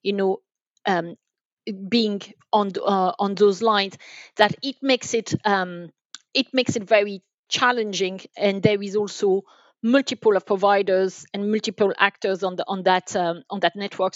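A woman explaining things as an expert guest in a podcast interview, haltingly, often repeating and rephrasing her phrases. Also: medium pitch, no room sound, lo-fi sound. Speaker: guest, enterprise sales manager.

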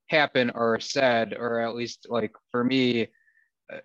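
A man's voice: medium pitch, a bit bright, dry recording. A man with a Wisconsin accent, speaking as a guest in candid talk with a sarcastic, dry wit. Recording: dull lo-fi sound, dry.

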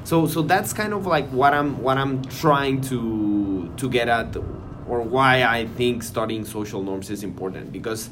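A man's voice: medium pitch, unhurried, hardly any pauses, a bit bright. Hesitant man in academic chat. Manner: hesitant, repeats words, many fillers. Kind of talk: casual academic conversation. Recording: noisy background hiss.

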